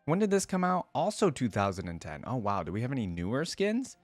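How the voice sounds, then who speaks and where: A man's voice: mid-range voice, wildly swooping pitch, a little fast, no room sound, soft background music. A man hosting a reaction video, giving casual, informal off-the-cuff commentary and thinking aloud as he goes. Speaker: video host reacting to game content.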